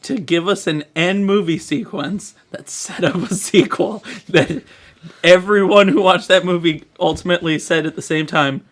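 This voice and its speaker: High-pitched man bantering on a podcast. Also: moderate pace, a bit bright. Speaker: podcast co-host. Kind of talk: casual joking movie-review chat.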